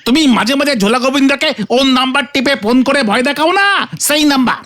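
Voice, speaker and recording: A woman's voice: high-pitched, fast, a bit bright, a little hiss or hum. A woman voicing a sensible, exasperated young sidekick nephew in a children's cartoon, explaining things in exclamations. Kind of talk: comic children's cartoon acting. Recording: music behind the voice.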